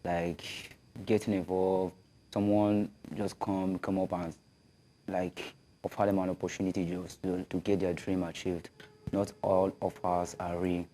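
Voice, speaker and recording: A man's voice: low voice, little pitch movement, unhurried, warm tone. A twenty-year-old man giving earnest advice in an interview. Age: 20s. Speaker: rescued youth interviewee.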